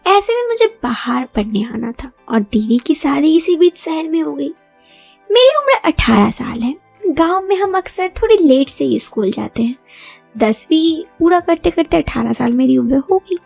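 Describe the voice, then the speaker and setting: A woman's voice: sits high, very animated, moderate pace, vocal fry, darkish tone, faint music behind. A young woman narrating a first-person story in a chatty, confiding way, often addressing listeners with rhetorical asides.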